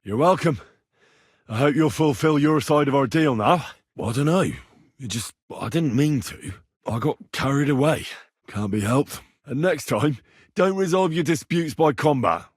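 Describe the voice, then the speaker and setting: Young male medieval adventurer for a video game: polite, plucky, husky, animated delivery. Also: on the high side, normal speed, dry recording, clean studio sound. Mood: conversational. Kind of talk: video game character dialogue.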